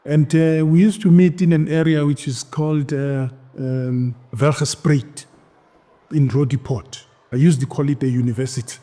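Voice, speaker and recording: A South African man in his sixties recounting his own history in an interview, firm and deliberate. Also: medium pitch, dark tone. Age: 60s.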